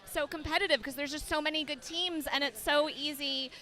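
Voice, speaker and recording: A woman's voice: high-pitched, brisk, bright, forceful tone. A woman co-commentating a tournament livestream in an upbeat, chatty way. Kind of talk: casual sports commentary.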